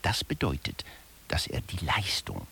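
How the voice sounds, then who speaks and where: A man playing a management theorist who lectures wryly and didactically in a radio play, with a gravelly, husky voice. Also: low-pitched, wildly swooping pitch, unhurried, pushed and bright, heavily acted. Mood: dry, explaining. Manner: dry, didactic, addresses listeners directly.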